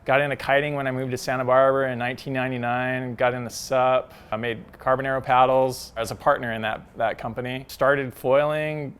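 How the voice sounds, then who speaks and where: A middle-aged man from Hawaii, a company co-founder talking casually and quickly, full of "like" and "you know". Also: medium pitch, little pitch movement, a bit bright, smooth. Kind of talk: casual promotional chat.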